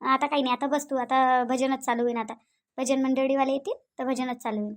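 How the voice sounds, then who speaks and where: A fussy older village mother-in-law voiced by a woman keeps a cartoon household moving, with a Vidarbha Marathi accent. Her voice sits very high, and she hurries and welcomes guests in the same breath. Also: flat delivery, fairly quick, natural voice. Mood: fussy hosting. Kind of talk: cartoon voice acting.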